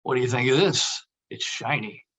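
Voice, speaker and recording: A man's voice: medium pitch, moderate pace, a bit bright, a little hiss or hum, natural voice, low-quality recording. A man playing a casual, evasive buddy in a script table read. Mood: breezy. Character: casual, evasive male buddy with a dark streak.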